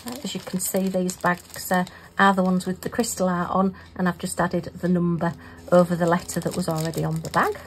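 Chatty woman, gravelly voice. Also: on the low side, level tone, fairly quick, slight background noise, poor audio. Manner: chatty, talks to herself. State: losing her voice. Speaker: craft vlogger. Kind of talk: casual chat while crafting.

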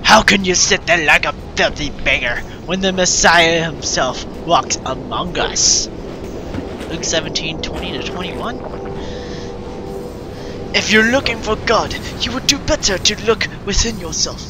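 A man doing a slow solemn preacher. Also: sits high, background noise. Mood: preachy. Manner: slow, declaiming, half-hearted attempt at an Italian accent. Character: solemn male preacher reading scripture. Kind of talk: reading game dialogue aloud.

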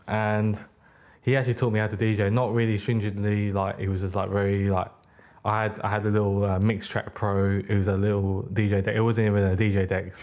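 Low-voiced young British-born man, rambling. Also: darkish tone. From United Kingdom. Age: young adult. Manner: rambling, many restarts and self-corrections. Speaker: guest, record label founder. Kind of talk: casual interview. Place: small podcast studio. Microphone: boom mic on arm, headphones.

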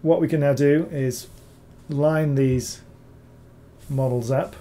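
A man, hoarse from recovering from illness, talking through a screen-recorded software tutorial, rambling with many ums and repeated words. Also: fairly high pitch, fairly even intonation, slow pace, a little hiss or hum. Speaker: bas-relief sculptor reviewing software.

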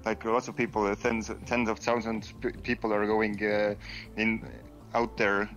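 A man from Estonia with an Estonian accent, chatting casually in a second language, halting and searching for words. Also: on the low side, flat delivery, fairly bright tone, low-quality recording. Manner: halting, many ums and uhs, searching for words. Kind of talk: casual voice chat.

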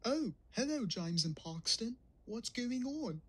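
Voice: mid-range voice, very animated, takes it slowly, slightly rough, heavily acted. An actor voicing a forgetful, anxious cartoon diesel engine, apologetic and hesitant, prone to gasps. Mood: puzzled. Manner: hesitant, gasping, dithering. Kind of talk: cartoon comic dub dialogue.

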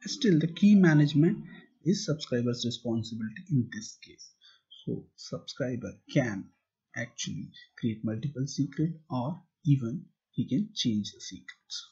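A man walks through a tutorial step by step, posing questions and then answering them himself. His voice is husky and dark, and the recording sounds dull and muffled. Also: mid-range voice, animated, moderate pace. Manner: plain step-by-step explaining, asks and answers own questions. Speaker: tutorial instructor. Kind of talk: explaining slides and screen demo. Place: voice-over.

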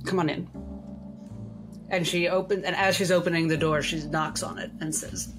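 A woman's voice: low voice, fairly even intonation, a little fast. Female player, tabletop game narration, music behind.

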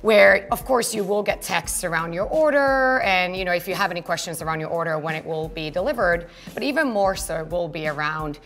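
A woman's voice: on the low side, brisk, hardly any pauses, a bit bright, faint music behind. A woman in her thirties, originally from Finland, talking through her company as a guest in an interview, fluent and earnest, in an office. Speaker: co-founder and guest. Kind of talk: business interview conversation. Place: open-plan office, indoors.

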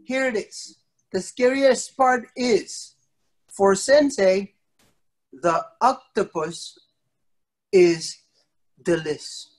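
A middle-aged man with a fairly high voice plays storyteller for young kids. He is theatrical and playful, stretching key words and leaving pauses, speaking as an instructor on a video call. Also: poor audio. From an island country. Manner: theatrical, with drawn-out emphasis and pauses. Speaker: martial arts instructor telling stories to children. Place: indoor wood-panelled studio, on a video call.

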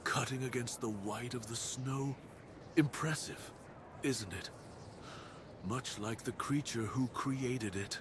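A man's voice: mid-range voice, close to an everyday voice. Man acting a brooding cursed wolf-man, noisy backdrop. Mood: solemn, reassuring. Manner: solemn, deliberate, theatrical delivery with formal wording. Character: brooding cursed dire wolf beast-man, commanding castle master. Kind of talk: ASMR roleplay, dramatic monologue.

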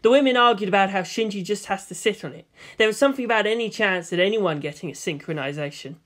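A narrator reading fiction aloud as a dramatic scripted narration, with a medium-pitched voice. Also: fast talker, a bit bright. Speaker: story narrator.

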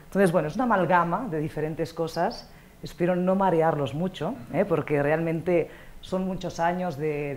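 A middle-aged woman, a native Catalan speaker with Panamanian-influenced Spanish, giving an animated, conversational academic lecture with frequent check-in tags. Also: low-pitched. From Catalonia, Spain. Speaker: guest lecturer, academic anthropologist. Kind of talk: informal spoken academic lecture. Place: lecture hall stage. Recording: clear background noise in auditorium.

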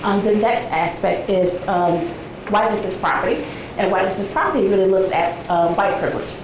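A woman in her forties giving an academic talk to a seated audience, soft-spoken and conversational with frequent ums, heard from a distant camera in a large seminar room. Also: medium pitch, a little fast. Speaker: guest lecturer. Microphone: far camera, no visible mic. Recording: echoey room, noisy background.

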